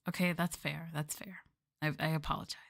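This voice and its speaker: Soft-spoken woman with a lower voice. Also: level tone, fairly quick, vocal fry. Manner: soft-spoken, playful, drifting into close-mic whispers. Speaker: VTuber streamer and host. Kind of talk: ASMR livestream chat, casual, replying to viewers.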